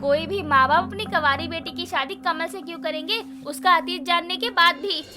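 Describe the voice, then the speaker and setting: A woman voicing a sharp-tongued, suspicious middle-aged mother in a cartoon story, scolding and exasperated. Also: extremely high pitch, moderate pace, music in the background. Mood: outraged. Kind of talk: Hindi cartoon story voice acting.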